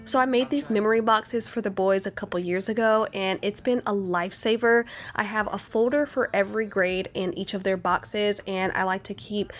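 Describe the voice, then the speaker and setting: Woman in her 30s, mid-pitched, chatty, upbeat vlog voice-over. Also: steady tone, normal speed, few pauses, soft background music. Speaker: homemaking vlogger. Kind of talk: casual voice-over narration.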